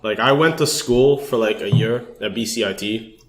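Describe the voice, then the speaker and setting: A man's voice: fairly low pitch, moderate pace, soft background music. A man, in casual podcast chat, with loose, filler-filled phrasing. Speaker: podcast participant. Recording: roomy, echoing sound.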